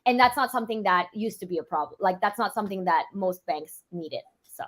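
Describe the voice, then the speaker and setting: A young woman from Europe, a financial planner, chatting quickly and casually with lots of "like" fillers. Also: medium pitch, lively intonation, fairly bright tone. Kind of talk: casual interview chat.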